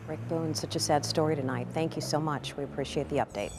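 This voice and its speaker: A woman's voice: low voice, steady tone, fast, background noise. A woman with an American accent presenting scripted news as an anchor.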